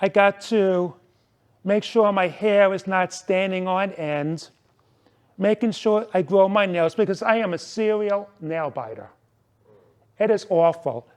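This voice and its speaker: High-pitched man giving an emphatic speech. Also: smooth. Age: 40s. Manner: emphatic, deliberate, with dramatic repetition and rhetorical questions. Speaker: club member giving a speech. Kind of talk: prepared motivational speech to a club audience.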